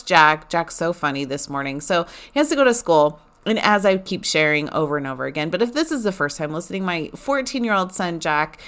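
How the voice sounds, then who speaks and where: Woman, forties, casual chat, lower voice, rambling, lots of fillers. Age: 40s. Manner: chatty, animated, rambling with many fillers.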